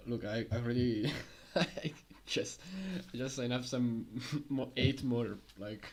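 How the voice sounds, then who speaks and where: A man's voice: mid-range voice, fairly even intonation, slow. A man from Italy whose first language is Italian, hosting an interview, hesitant and chuckling as he looks for words. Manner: hesitant, many fillers and restarts, chuckles. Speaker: interview host. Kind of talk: casual interview conversation.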